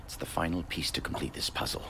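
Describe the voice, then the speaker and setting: An actor playing an eccentric, absent-minded scientist in a video game, obsessive and unbothered, with a distracted, halting delivery. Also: male voice, extremely low pitch, steady tone, pushed and bright, slight background noise, heavily acted. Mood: focused.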